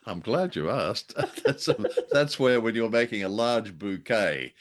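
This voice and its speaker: A man with a low-pitched voice, middle-aged or older, chats casually as co-host of a review show. He rambles drolly from one aside to the next, with a wry touch. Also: lively intonation, a little slow.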